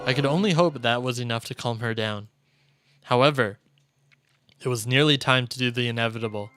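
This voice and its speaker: A man's voice: medium pitch, fairly quick, fairly bright tone. Man narrating a game over music. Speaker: streamer playing a visual novel. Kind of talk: reading game text aloud with live commentary. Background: video game music.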